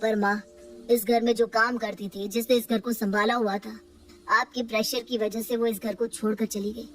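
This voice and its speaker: A woman's voice: a little high, flat delivery, normal speed, far from the mic, slight background noise, lightly acted. A woman voicing an irritable young son who snaps at his mother and later reproaches her. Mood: reproachful.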